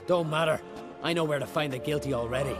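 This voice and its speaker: An actor playing a rash, proud young warrior in a video game, blunt and defiant. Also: male voice, a little high, quick pace, natural voice. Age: young adult. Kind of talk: dramatic video game acting. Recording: music behind the voice.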